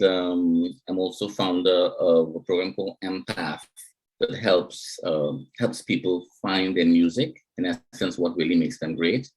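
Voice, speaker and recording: A middle-aged man, an executive coach, introducing himself on a panel in a reflective, measured way over a video call. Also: low voice, poor audio.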